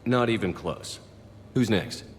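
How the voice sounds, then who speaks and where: Game role, supportive military commander. Very deep, composed, dry-humoured, long reverb and some noise. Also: male voice, slow pace. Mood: steady, supportive. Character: male military commander, steady, wry, supportive human soldier. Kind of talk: video game dialogue acting.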